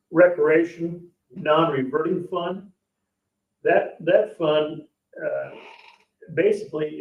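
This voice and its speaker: A man speaking as an official at a public meeting, explaining finances in a halting, plain-spoken way and often checking that listeners follow. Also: on the high side. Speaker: parks board official presenting the budget. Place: indoor public board meeting room. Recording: echoey meeting room, distant dull mic.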